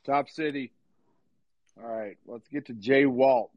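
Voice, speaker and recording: A man's voice: medium pitch, slow pace, no room sound. A man casually hosting a sports call-in show, chatting with callers in a loose, informal way. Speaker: host of a live call-in sports podcast. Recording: slightly dull, lo-fi audio.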